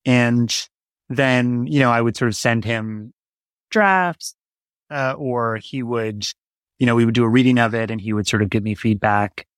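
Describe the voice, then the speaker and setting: A man's voice: mid-range voice, a little slow, clear, resonant tone, no echo. Man reflecting in conversation, dry sound. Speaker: interview guest, audio drama writer. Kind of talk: conversational interview answer.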